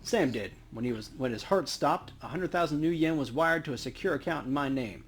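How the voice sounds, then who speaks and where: A man's voice: fairly high pitch, brisk, fairly bright tone. A man hosting a let's play, joking informally as he comments and reads dialogue aloud. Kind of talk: casual game commentary and reading dialogue aloud. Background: game music.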